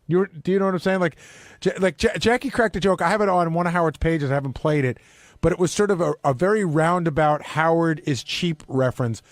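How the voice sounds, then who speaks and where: A man's voice: fairly high pitch, fairly quick, no room sound, clean studio sound. A man co-hosting a radio show in casual, opinionated talk.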